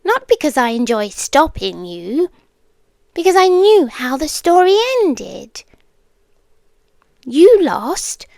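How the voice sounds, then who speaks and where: An obsessive, possessive monster girl played by a woman speaks in a high British voice, very close and dry. She takes her time, choosing formal words and often sinking into a whisper. It is an intimate ASMR roleplay monologue with a yandere temper. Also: creaky, no room sound, exaggerated voice. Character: obsessive yandere female monster with tail, claws and venom.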